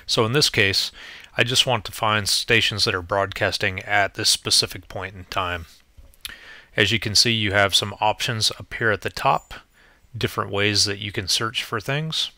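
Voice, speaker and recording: A man's voice: on the low side, steady tone, moderate pace, sharp tone, no echo. A man presenting an informal demo as a tutorial, explaining things step by step in a plainspoken way.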